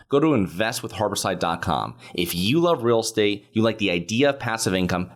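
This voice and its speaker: Deep-voiced podcast host man. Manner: brisk sales pitch, repeats the web address. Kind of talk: scripted ad read and interview.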